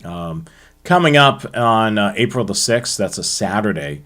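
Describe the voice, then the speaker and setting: An American man hosting a hobby show, presenting to viewers in a halting way with frequent ums and uhs. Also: lowish voice, big pitch swings, sharp tone.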